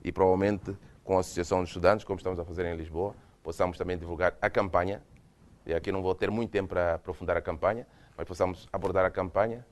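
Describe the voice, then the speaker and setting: A man's voice: low-pitched, steady tone, fast talker. A man from Mozambique with a Mozambican Portuguese accent, giving a university lecture with slides and speaking hesitantly, with frequent restarts. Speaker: guest lecturer. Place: lecture hall.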